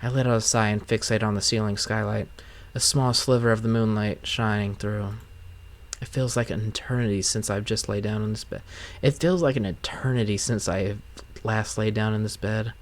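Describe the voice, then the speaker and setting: A man's voice: fairly low pitch, steady tone, normal speed. A man streaming a game, reading story narration aloud and giving casual commentary as host. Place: game playthrough recording with music behind.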